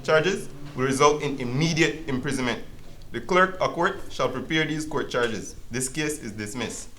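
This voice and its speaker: A man's voice: on the high side, fairly bright tone, a little hiss or hum, natural voice. A man playing a stern, authoritative judge in an amateur educational drama, speaking formally and officially.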